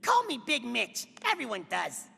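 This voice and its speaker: Creaky, high-pitched cocky swindler. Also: male voice, wildly swooping pitch, normal speed, pushed and bright, heavily acted. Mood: indignant boasting. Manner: cocky, wheedling. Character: small, boastful, scheming male jungle swindler. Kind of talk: cartoon voice acting. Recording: long reverb, music behind.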